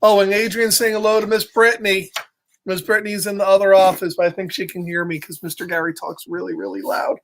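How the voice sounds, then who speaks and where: A man's voice: sits high, level tone, fast, fairly bright tone. Playful man reading aloud to children. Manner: playful, chatty, breaks off to greet viewers. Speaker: children's storyteller. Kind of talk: casual interactive read-aloud of a picture book.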